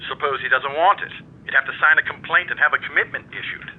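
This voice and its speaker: Husky official on a muffled phone line. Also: male voice, fairly high pitch, quick pace. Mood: skeptical. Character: skeptical male deputy district attorney on telephone. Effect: telephone filter. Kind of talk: radio drama acting. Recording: thin telephone-filtered voice.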